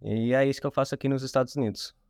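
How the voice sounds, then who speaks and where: A man's voice: mid-range voice, steady tone, rapid-fire, darkish tone, no echo, studio quality. A man in his twenties, born in the United States to Brazilian parents, speaking Portuguese with a Brazilian accent as a guest, explaining things plainly. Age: 20s. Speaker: real estate agent guest. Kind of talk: casual interview.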